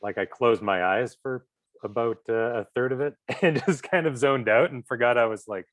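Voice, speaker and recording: A man giving casual feedback as a co-writer, searching for his words in a halting way. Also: mid-range voice, dry recording. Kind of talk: casual chat.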